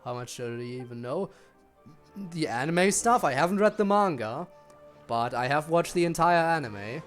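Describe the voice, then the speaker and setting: A man with a fairly high, very animated voice chats casually as he streams a game. He rambles and muses aloud to viewers, with faint music playing behind him. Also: moderate pace. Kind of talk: casual live gameplay commentary.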